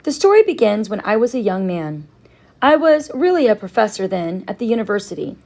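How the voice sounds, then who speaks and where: A woman voicing a quiet, reserved, sorrowful older male professor in a children's novel, hesitant and reflective. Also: medium pitch, big pitch swings, a little fast. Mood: wistful.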